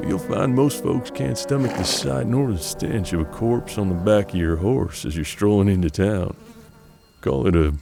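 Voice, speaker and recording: An actor playing a weary, gruff bounty hunter in a western audio drama, with a folksy, unhurried, gravelly drawl. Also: male voice, lowish voice, over music. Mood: matter-of-fact.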